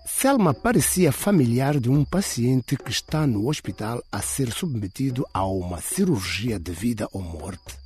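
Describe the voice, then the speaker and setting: A man's voice: medium pitch, very animated, unhurried, few pauses, a little mellow, faint music behind. A man reading a scripted radio drama as its dramatic narrator. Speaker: audiobook narrator.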